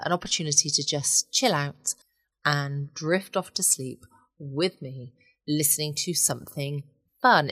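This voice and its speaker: A woman's voice: deep voice, fairly bright tone, no echo. A woman hosting a bedtime podcast in a cosy, chatty way. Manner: cosy, chatty, self-questioning asides. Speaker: podcast host. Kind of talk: relaxed bedtime podcast chat.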